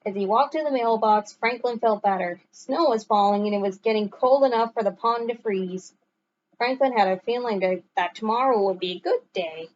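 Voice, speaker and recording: A woman narrating a children's story aloud in an expressive storytelling manner. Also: a little high, normal speed, dull audio. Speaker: storybook reader.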